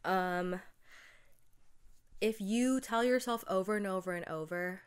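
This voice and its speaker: A woman's voice: mid-range voice, measured pace, clean tone. Stuffy-nosed young woman chatting casually. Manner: chatty, lots of "like" and "um". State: stuffed up from a cold. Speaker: podcast host. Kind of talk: casual solo podcast monologue.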